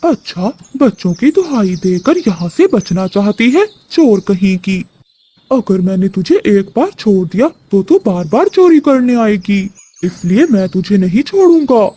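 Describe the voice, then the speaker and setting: A woman's voice: medium pitch, sing-song, measured pace, mellow, husky. A woman voicing a stern male hawk, a farm owner in a children's cartoon, scolding and accusing. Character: stern male hawk who owns a cornfield. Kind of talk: Hindi children's cartoon voice acting.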